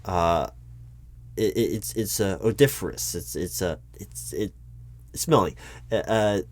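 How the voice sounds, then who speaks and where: Male, hesitant corporate interviewer; low, unhurried delivery, polite, full of ums. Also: gravelly. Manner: polite, awkward, stiffly corporate. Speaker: recruiting manager in a roleplay. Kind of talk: one-sided job interview roleplay.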